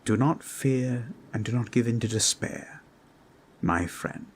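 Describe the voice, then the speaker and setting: Man as a measured, scholarly fantasy adventurer. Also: mid-range voice, slight background noise, natural voice. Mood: reassuring. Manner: formal, deliberate, explanatory. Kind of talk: roleplay audio drama.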